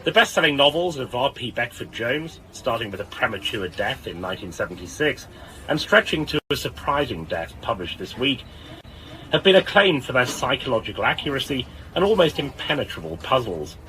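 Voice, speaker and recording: A man playing an erudite radio arts presenter, giving scripted introductions and questions in an articulate, rhetorical, deliberate way. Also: mid-range voice, sing-song, bright, forceful tone, a little hiss or hum, rough recording. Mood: presenting. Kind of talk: scripted broadcast introduction and interview.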